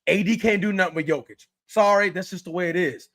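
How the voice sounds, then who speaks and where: A man co-hosting a casual sports podcast, animated and emphatic, repeating himself, slightly worn voice. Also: high-pitched, fast talker. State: losing his voice. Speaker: podcast co-host.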